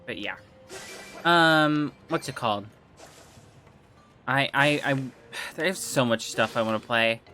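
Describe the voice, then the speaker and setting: Male streamer, rambling and chatty, bright tense tone, a touch phlegmy; soft game music underneath. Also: medium pitch, expressive, slow, long pauses. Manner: rambling, trailing off and restarting, chatty with viewers. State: slightly phlegmy throat. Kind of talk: casual livestream chat while gaming.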